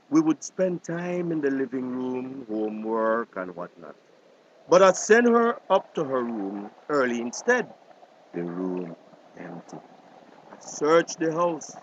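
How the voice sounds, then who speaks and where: Old belizean creole granddad, desperate and urgent, voice goes up and down, kinda muffled noisy radio drama. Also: male voice, mid-range voice. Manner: urgent, pleading. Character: frantic grandfather searching for his missing granddaughter.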